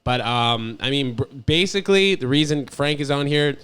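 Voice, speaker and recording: A male podcast host with a medium-pitched voice. He talks in a loose, informal, slangy way, interviewing as if chatting with a buddy. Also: expressive, normal speed, a bit bright, clear, resonant tone. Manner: slangy, informal, addresses guest as bro. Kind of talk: casual chat interview.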